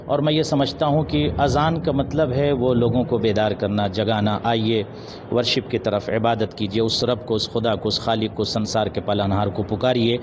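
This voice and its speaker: A man answering an interview in a measured, formal, preaching manner, pausing and mixing in English words. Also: medium pitch. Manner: measured, formal Urdu-laced diction with pauses and some English words. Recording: noisy outdoor urban street recording with traffic. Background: loud street ambience and vehicles.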